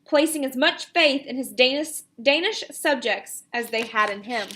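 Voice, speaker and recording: A woman, an elementary teacher reading a picture book aloud and giving pupils instructions in an expressive, deliberate way. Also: sits high, a little hiss or hum.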